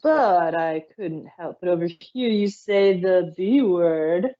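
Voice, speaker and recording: Woman as Discord, a smooth mischievous male trickster creature. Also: on the low side, expressive, dark tone, highs cut off. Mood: teasing. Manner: smooth, teasing, drawn-out delivery.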